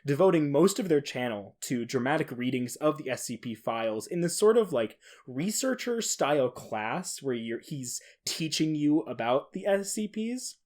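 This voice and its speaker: A man's voice: fairly high pitch, big pitch swings, moderate pace, hardly any pauses, a little mellow. A man hosting a podcast alone, talking casually in an informal, enthusiastic manner. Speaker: podcast host. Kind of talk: casual solo podcast talk.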